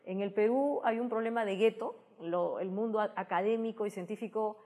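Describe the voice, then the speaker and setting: A woman's voice: mid-range voice, a little fast, mellow. A woman with a Peruvian Spanish accent giving explanatory, conversational interview answers, often ending phrases with a tag question. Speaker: interview guest, science communicator.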